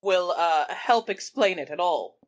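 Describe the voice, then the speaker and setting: A woman's voice: fairly low pitch, expressive, unhurried. A woman in an improvised comedy chat, reading passages aloud between bits of banter. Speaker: book club podcast performer reading aloud.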